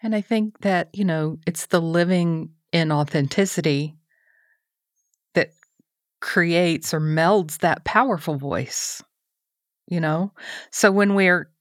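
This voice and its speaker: A woman's voice: on the low side, takes it slowly, long pauses, no room sound, clean studio sound. A middle-aged woman hosting a casual chat, speaking in a reflective, encouraging way.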